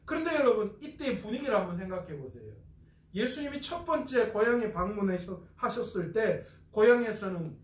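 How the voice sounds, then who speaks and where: A man's voice: high voice, very animated, moderate pace, reverberant, far from the mic. A man from North Gyeongsang, South Korea, preaching an expository Bible lecture as a pastor, asking questions and answering them himself.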